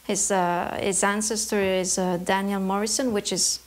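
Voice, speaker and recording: A woman from Canada, an embroidery artist, answering interview questions in a thoughtful way, often pausing to search for words. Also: medium pitch, little pitch movement.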